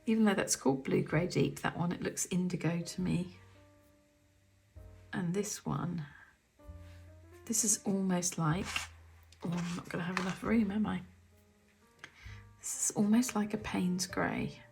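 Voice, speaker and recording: A woman with a croaky throat from the cold, casually talking through her paints as she swatches them, often half-whispering and musing aloud. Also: fairly low pitch, steady tone, unhurried, pauses often, a little mellow, faint music behind. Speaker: artist demonstrating paints. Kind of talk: casual craft tutorial chat. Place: home art studio.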